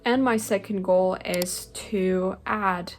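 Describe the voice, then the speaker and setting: A woman's voice: medium pitch, steady tone, slow pace. A young woman whose native language is Spanish, talking earnestly to the camera from her bedroom, with frequent "like" and "um" fillers. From Spanish-speaking country. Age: young adult. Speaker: language-learning vlogger. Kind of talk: casual talking-head vlog. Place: bedroom, indoors. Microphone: webcam-style camera close in front.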